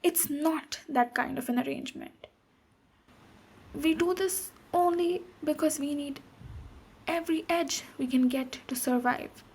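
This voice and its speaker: A woman voicing a tough but protective ogre warrior in a soft-spoken, reassuring ASMR roleplay, with pauses. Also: sits high, a little hiss or hum. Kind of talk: ASMR roleplay, speaking to the listener.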